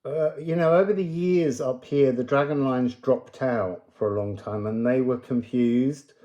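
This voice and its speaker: Male card reader, unhurried and soft-edged in tone, improvising mystical thoughts with a digressive, musing delivery. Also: mid-range voice, fairly even intonation, highs cut off. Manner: musing, digressive, breaks into chanted phrases. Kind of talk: unscripted spiritual reading to viewers.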